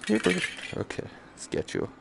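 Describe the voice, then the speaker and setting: A man giving casual live game commentary, muttering and exclaiming to himself in a jokey, self-deprecating way. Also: a little fast. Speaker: gaming streamer. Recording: noticeable background noise, game ambience.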